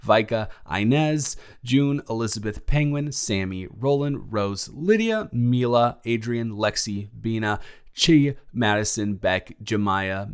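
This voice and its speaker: Smooth, clear, lower-pitched man. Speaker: audio roleplay creator thanking supporters. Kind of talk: reading out supporter names, channel announcement.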